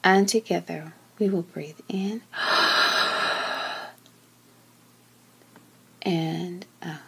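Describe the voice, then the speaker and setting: A woman leads a guided meditation in a calm, gentle, breathy voice that often sinks to a near-whisper, speaking in short phrases with long pauses. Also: fairly low pitch, slight background noise, rough recording. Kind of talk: slow guided meditation, soothing scripted narration.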